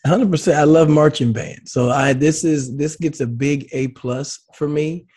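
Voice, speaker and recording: A man co-hosting a casual sports show, relaxed and laid-back, his voice slightly raspy and scratchy. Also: a little high, a little slow. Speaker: co-host, former player.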